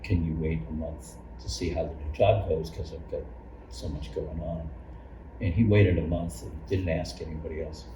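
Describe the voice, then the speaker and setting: Older guy w really low voice telling church memories, kinda halting, mic far away w noise. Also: steady tone. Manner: halting, circling storytelling with repeated phrases. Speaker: longtime church member and Sunday school teacher. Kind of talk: personal reminiscence in an oral history interview. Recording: roomy, distant mic, noisy background.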